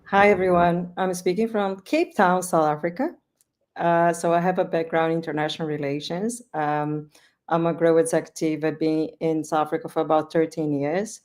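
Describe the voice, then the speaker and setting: Low-voiced Brazilian woman executive. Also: flat delivery, moderate pace, darkish tone. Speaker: business executive. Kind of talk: panel self-introduction.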